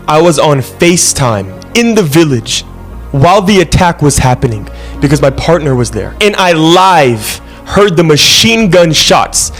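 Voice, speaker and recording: A man recording an impassioned video statement, urgent and accusatory. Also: on the high side.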